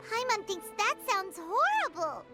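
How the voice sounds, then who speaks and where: A woman voicing a small, naive, excitable fairy companion in a video game, with a squeaky childlike voice. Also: a little slow, loud music behind the voice, exaggerated voice. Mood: alarmed. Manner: speaks of self in third person, exclamatory. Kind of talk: English video game voice acting.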